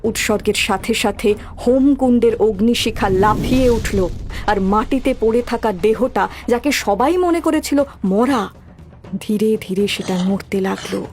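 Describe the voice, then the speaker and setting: A woman's voice: a little high, a little slow, darkish tone. Woman narrating horror tale over music. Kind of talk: scripted dramatic horror story narration. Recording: background music throughout.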